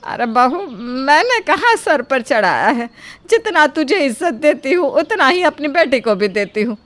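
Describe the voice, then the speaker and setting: A woman's voice: high-pitched, sing-song, moderate pace, fairly bright tone, no echo. Woman acting a placating older mother-in-law. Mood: defensive, reproachful. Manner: pleading, placating. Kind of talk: Hindi cartoon moral-story acting.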